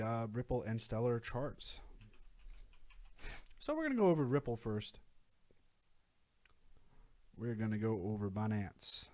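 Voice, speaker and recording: A man's voice: mid-range voice, darkish tone. A young man hosting a casual video, talking through price charts with lots of fillers and restarts. He is losing his voice. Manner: rambling, many fillers and restarts. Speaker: crypto channel host. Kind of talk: casual screen-share chart commentary.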